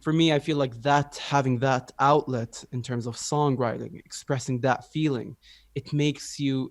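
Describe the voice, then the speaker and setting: Young libyan guy musician, thinking out loud, lots of "like", dry close sound. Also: mid-range voice, no room sound. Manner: reflective, many "like" fillers. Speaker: musician guest. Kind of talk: casual interview chat.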